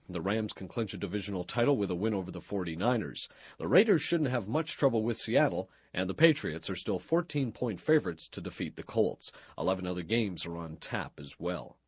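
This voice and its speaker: Deep, crisp-voiced male radio newsreader. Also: animated, no echo, studio quality. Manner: formal, measured broadcast delivery. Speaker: radio news and sports announcer. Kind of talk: scripted radio news bulletin reading.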